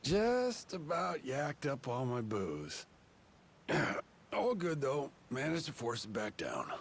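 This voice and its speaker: An actor playing a proud, gruff middle-aged father, protective and distrustful, in a video game cutscene. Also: mid-range voice, wildly swooping pitch, slow pace, slight background noise, full character voice. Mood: queasy, casual. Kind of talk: English video game dub acting.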